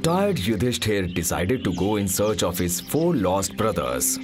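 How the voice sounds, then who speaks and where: A man narrating a scripted animated mythological story for children. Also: fairly low pitch, a little slow. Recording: music behind.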